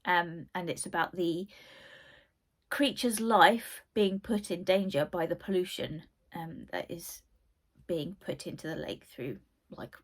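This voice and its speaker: A woman's voice: lowish voice, slightly rough. A young woman with a cold, hosting a book channel and chatting casually about her favourite reads, rambling with lots of asides. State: croaky from a cold. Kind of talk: casual book review chat.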